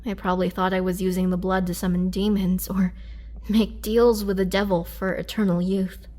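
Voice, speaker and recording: A woman playing a gentle, sorrowful, youthful-looking but centuries-old imprisoned vampire, telling her story in a hushed, halting way for a dramatic roleplay. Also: fairly low pitch, flat delivery, close to an everyday voice. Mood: somber.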